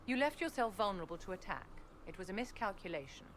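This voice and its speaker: An actress playing an imperious, calculating court lady in a video game, with a measured, formal, condescending manner. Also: mid-range voice, expressive, lightly acted. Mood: cold, scolding.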